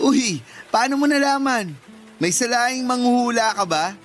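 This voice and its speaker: An actor playing a cheeky, flattering student younger brother in a radio drama, teasing and wheedling. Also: male voice, very high-pitched, slow pace, soft background music, exaggerated voice. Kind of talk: Tagalog radio drama acting.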